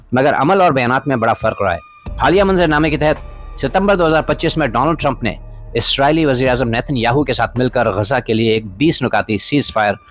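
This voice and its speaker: A man narrating scripted political commentary as a podcast host, in a formal, literary manner with rhetorical emphasis. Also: medium pitch. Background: background music.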